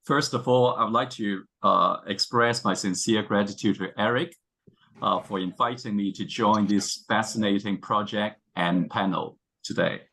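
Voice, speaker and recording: A man's voice: lowish voice, creaky, pushed and bright. A man with a Cantonese accent, a native Cantonese speaker, gives an academic presentation as a panel scholar, often hesitating and rephrasing as he goes. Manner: halting, with frequent hesitations and self-rephrasing.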